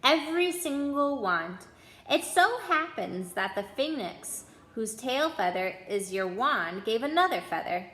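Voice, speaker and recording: An eccentric old wand seller from a storybook, played by a woman, musing to himself with eerie eagerness. The voice is bright and sits fairly high, with the room echoing around it. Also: sing-song, unhurried, exaggerated voice. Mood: grave, eerie. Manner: muttering, musing, repeats words to himself.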